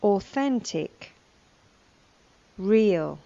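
A woman's voice: medium pitch, animated, drawn-out words, gravelly, mellow, dry recording, dull audio. A woman giving scripted lesson narration, reading vocabulary and a news report. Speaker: language lesson presenter.

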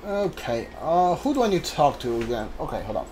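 High-voiced man doing game commentary. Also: big pitch swings, a little fast, darkish tone, slight background noise. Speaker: video game streamer. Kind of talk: casual playthrough commentary. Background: game music.

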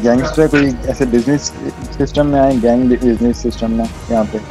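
A man improvising roleplay as a wealthy, generous businessman host, speaking politely and haltingly with repeated phrases. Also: mid-range voice, steady tone, music in the background. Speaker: roleplay player. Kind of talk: improvised in-character roleplay dialogue.

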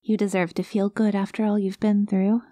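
A woman voicing a cute, gentle alien girl in an ASMR roleplay, naive and literal, with a hesitant, reassuring manner. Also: medium pitch, flat delivery, moderate pace, no echo, clean studio sound, natural voice.

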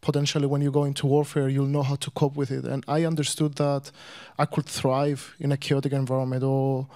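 A young man, a native Greek speaker, telling his own story in English to a guest, hesitant with many pauses and restarts. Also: medium pitch, monotone, a little mellow. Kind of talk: personal story told to a guest.

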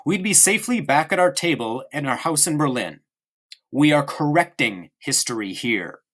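A man's voice: a little high, expressive, normal speed, a bit bright. A man voicing a stern military father who lectures his son at the table.

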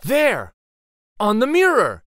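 Slow, animated male storyteller. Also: very high-pitched, dry recording, studio quality. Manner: theatrical suspenseful pauses and emphasis. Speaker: picture book read-aloud host. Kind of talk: dramatic children's story narration.

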